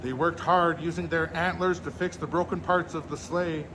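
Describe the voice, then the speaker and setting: A man reading a children's storybook aloud in a measured, expressive storyteller's manner. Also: on the high side, noisy background. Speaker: storyteller in a Santa persona, host.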